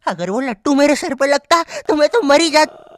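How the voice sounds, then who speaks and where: A man performing exaggerated cartoon comedy dialogue in an excitable, indignant, colloquial way. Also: extremely high pitch, normal speed, no room sound, clean studio sound.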